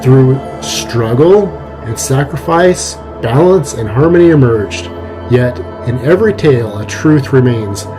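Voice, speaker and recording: A man's voice: mid-range voice, expressive, measured pace, music in the background, low-quality recording. A man giving a solemn, portentous scripted narration in a dramatic mythological style.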